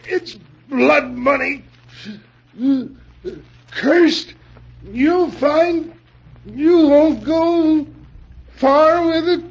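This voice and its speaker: An actor playing a frail, ailing, betrayed husband who stammers and gasps, in old-time radio drama style. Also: male voice, extremely high pitch, gravelly, exaggerated voice. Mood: bitter, dying. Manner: halting, stammering, gasping. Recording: dull old radio recording.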